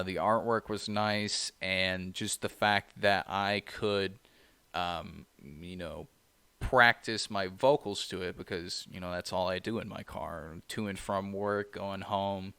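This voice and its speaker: A man with a low, smooth, resonant voice talks in a loose, rambling way, leaning on "you know" between thoughts. His tone is bright and forward. The sound is dry and close, with no room echo. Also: little pitch movement, a little slow. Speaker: podcast co-host. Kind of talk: casual chat.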